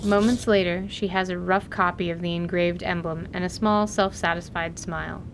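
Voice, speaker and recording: A woman's voice: fairly even intonation, moderate pace, vocal fry. A woman reading scripted story narration as the narrator of an audio drama. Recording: noisy recording with low rumble.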